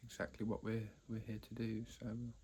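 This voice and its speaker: A man teaching a guided meditation, speaking haltingly in short phrases with long pauses between them. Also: fairly low pitch, monotone, a little mellow, a little hiss or hum. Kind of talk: guided meditation, unscripted explanation.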